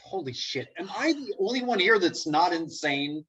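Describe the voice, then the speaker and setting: Woman playing exasperated sceptic with husky voice. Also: fairly low pitch, sing-song, moderate pace, a bit bright, lo-fi sound, full character voice. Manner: indignant, sarcastic, incredulous. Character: Dave, exasperated, argumentative young man, the reluctant sceptic. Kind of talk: comic script table reading. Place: remote video call.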